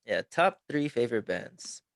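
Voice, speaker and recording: A man hosting a podcast interview, talking in a halting way with many pauses and restarts. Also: mid-range voice, sing-song, a bit bright, no echo, clean studio sound. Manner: hesitant, lots of ums and restarts. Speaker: podcast host. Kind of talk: casual interview chat.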